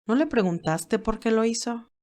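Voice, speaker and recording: A woman voicing a calm, motherly older woman who reasons in a measured, gently firm way in audiobook dialogue. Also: a little high, close to an everyday voice.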